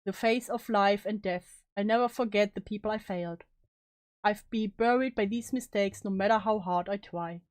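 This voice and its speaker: A woman's voice: mid-range voice, moderate pace, a little mellow, dry recording, voice pushed down, natural voice. A woman playing a guilt-ridden, despairing young student in a solemn, melodramatic story reading. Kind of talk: dramatic reading of story narration.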